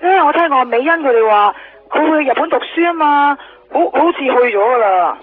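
An actress playing an evasive, distracted friend in a radio drama, hesitant, with a Hong Kong Cantonese accent. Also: female voice, high voice, animated, a little fast. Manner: hesitant, stalling. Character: evasive, distracted woman friend juggling phone calls. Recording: muffled lo-fi radio broadcast with music.

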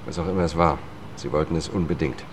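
Weary, deep-voiced middle-aged lawyer. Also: male voice, fast, slight background noise. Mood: matter-of-fact. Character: weary disgraced Washington lawyer, confiding and haunted. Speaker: first-person narrator and lead character. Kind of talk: German radio drama, narration and dialogue. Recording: studio radio play with music.

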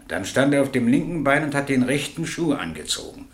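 An actor playing a plain-spoken railway crossing keeper giving blunt testimony in a German radio crime drama. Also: male voice, medium pitch, very animated, brisk, close to an everyday voice. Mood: certain, blunt. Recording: roomy, echoing radio drama studio.

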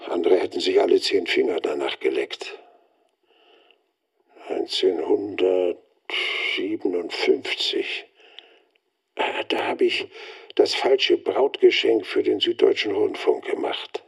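An actor playing a world-weary radio veteran looking back in a wry, grumbling monologue for a radio play. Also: male voice, low voice, slow, creaky, pauses often, slightly rough, full character voice.

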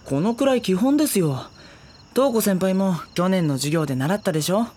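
A woman voicing a polite, reluctant high school boy in an anime audio drama, deadpan and sardonic. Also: brisk, a little hiss or hum, lightly acted. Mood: weary, polite. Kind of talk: Japanese anime audio drama acting and narration.

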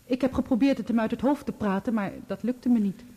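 A woman's voice: a little high, fairly quick, mellow, slightly rough. An actress playing a guarded, dignified woman witness in a Dutch radio play, answering with restraint and precision. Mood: composed, regretful. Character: composed, guarded woman witness testifying in court.

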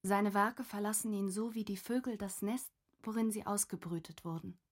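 A woman's voice: medium pitch, fairly even intonation, moderate pace, natural voice. Actress as a reflective young female diarist, composed. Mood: thoughtful. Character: reflective young woman reading from her diary. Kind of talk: scripted literary reading for radio.

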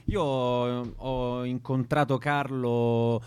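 A man hosts a radio interview in an average-pitched voice. He speaks off the cuff and hesitantly, searching for words, in a dry, close sound. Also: level tone, extremely slow, a little mellow, clean tone, dry recording. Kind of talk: unscripted interview talk.

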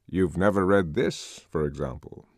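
A man's voice: low voice, a little slow, no room sound. A man voicing an urbane, wry male controller in an audiobook, lecturing genially with dry, teasing authority. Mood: amused, showing off.

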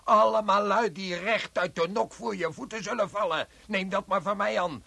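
A man's voice: sits high, normal speed, vocal fry, bright, forceful tone, husky, no echo, full character voice. An actor playing a furious, rebellious man who rants bitterly and scornfully in a radio drama. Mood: furious, threatening. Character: furious rebellious man raging against the authorities.

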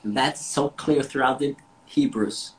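A man's voice: mid-range voice, unhurried, rough recording. Emphatic man preaching. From Austria. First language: German. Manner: emphatic, repeats words for stress. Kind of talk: devotional sermon with interpreter.

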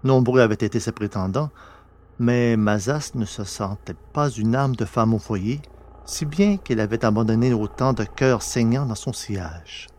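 A man's voice: mid-range voice, normal speed, darkish tone, a little hiss or hum. Male narrator for scripted fiction, mid-range voice.

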